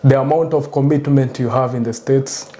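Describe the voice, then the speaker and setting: A young man, a soldier answering interview questions calmly in short replies. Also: medium pitch, normal speed. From raised in Kenya, born in Rwanda. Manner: brief, calm answers. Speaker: guest, US Army soldier.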